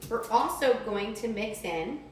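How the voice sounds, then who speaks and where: Woman, children's cooking instructor: slow, simple, encouraging delivery, recorded at a distance with faint background noise. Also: fairly high pitch, animated. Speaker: teacher demonstrating a recipe for children. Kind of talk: step-by-step cooking demonstration for young children. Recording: distant mic, echoey room, faint noise.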